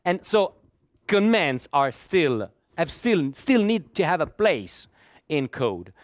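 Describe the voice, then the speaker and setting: A man's voice: on the high side, very animated, takes it slowly, dry recording, studio quality. A middle-aged man gives a technical conference talk, often repeating words while he searches for the next one. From Italy. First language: Italian. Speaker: conference speaker.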